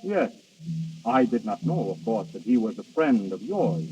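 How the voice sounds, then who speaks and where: A man's voice: fairly low pitch, sing-song. An actor playing Death as a grand, imperious male spirit, speaking formally and theatrically in an old radio drama. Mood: cool, courteous. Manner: formal, theatrical, commanding, deliberate phrasing. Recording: dull, distant old radio recording with music.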